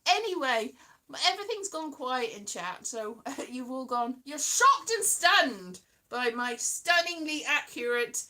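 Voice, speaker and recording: A woman's voice: high voice, sing-song, slow, bright, forceful tone. A woman chatting casually on a solo livestream from home, rambling and slipping into sing-song. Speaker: livestream host. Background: guitar music.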